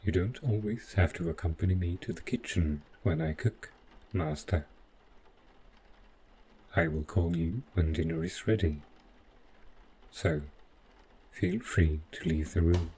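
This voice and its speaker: A very deep-voiced robot butler, acted out by a man, calm and devoted. He speaks in stiff, precise, deferential phrases, like a servant in a sci-fi audio drama. A steady noise sits behind the voice. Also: a little slow, pauses often. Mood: calm, attentive. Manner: formal, stiffly precise, deferential, avoids contractions. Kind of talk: scripted roleplay audio drama.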